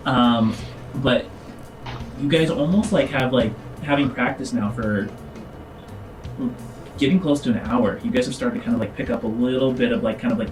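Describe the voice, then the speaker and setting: Man, game master, medium pitch, halting informal narration, descriptive, a little off-mic, music behind. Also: recorded from a distance, low-quality recording. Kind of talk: improvised tabletop game narration.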